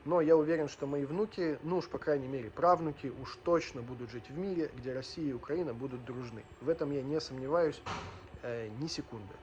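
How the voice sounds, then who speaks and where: A man's voice: mid-range voice, a little fast, a little mellow, lo-fi sound, slight background noise. A man in his thirties from Ukraine and Russia telling his personal story as a vlogger, emphatic and earnest, repeating phrases for weight. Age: 30s.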